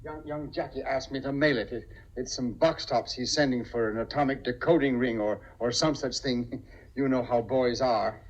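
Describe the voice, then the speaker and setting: A man's voice: medium pitch, steady tone, moderate pace, close to an everyday voice. An actor playing a timid, kindly middle-aged businessman, hesitant and stammering, in an old muffled radio comedy. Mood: flustered, fond. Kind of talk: 1950s radio comedy-drama acting.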